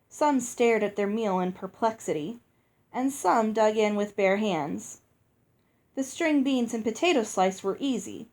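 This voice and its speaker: A woman reading a storybook aloud as narrator, in an expressive scripted style. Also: a little high. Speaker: audiobook reader.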